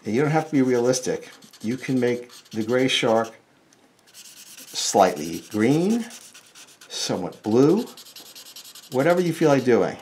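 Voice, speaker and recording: A man's voice: medium pitch, big pitch swings, unhurried, pauses often, a little mellow. A middle-aged American man giving a casual step-by-step drawing lesson, thinking aloud informally as he works. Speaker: cartoonist, drawing instructor.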